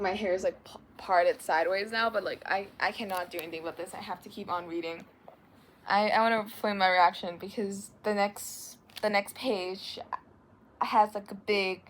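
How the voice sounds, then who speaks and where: A woman with a light Thai accent chatting excitably and dramatically as she reacts to a book she is reading, full of restarts. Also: mid-range voice, a little hiss or hum. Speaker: book vlogger. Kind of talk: casual reading reaction vlog. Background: faint background music.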